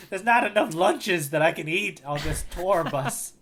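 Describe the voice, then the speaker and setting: A man with a slightly high-pitched voice chats as a podcast co-host. He talks casually and jokingly, teasing in a mock-serious way. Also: a bit bright, a little hiss or hum. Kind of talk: casual comedic banter about manga.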